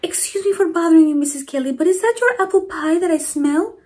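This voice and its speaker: A woman's voice: very high-pitched, fast talker, darkish tone, voice pushed up. A woman voicing a kindly, polite grandmother in a children's storybook, courteous and eager in her delivery.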